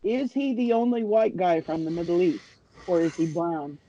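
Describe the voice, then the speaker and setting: A man's voice: high-pitched, animated, normal speed, a crowd in the background. A man co-hosting a podcast in casual chat, recorded with dull, lo-fi sound. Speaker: podcast co-host. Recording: dull lo-fi audio.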